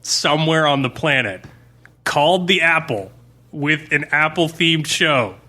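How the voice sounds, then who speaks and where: A man's voice: a little high, measured pace, pushed and bright. A man co-hosting a podcast in casual chat, emphatic and wry, fond of exaggeration. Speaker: podcast co-host.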